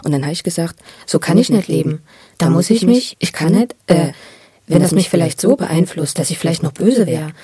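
A man, probably middle-aged, tells his own story in a husky voice, halting and repeating words with intensity. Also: a little high, animated, dark tone, no room sound, clean studio sound. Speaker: interviewee telling his life story. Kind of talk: spontaneous, emotional personal storytelling.

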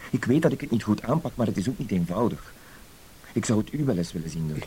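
Actor as a mellow, timid, pleading husband, hissy recording. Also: male voice, on the low side, expressive, quick pace, lightly acted. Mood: pained, pleading. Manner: apologetic, hesitant, wordy, polite. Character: timid, pleading, dutiful cuckolded husband, wounded dignity. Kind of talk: Dutch-language radio play acting. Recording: hissy old radio play recording.

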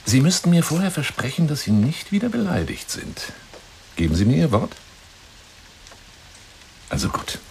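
A man's voice: a little high, very animated, moderate pace, gravelly, long pauses, a little mellow, a little hiss or hum. An actor playing an eccentric, obsessive lion hunter who woos a woman by telephone in an effusive, insinuating radio play monologue. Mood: insinuating, coaxing. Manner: effusive, insinuating, swinging between whispers and exclamations.

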